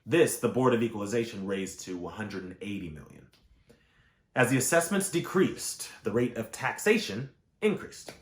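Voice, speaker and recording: Guy with a lowish voice reading a history book out loud, formal and measured, roomy echo on it. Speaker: audiobook reader. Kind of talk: read-aloud historical nonfiction narration. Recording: roomy, echoing voice recording.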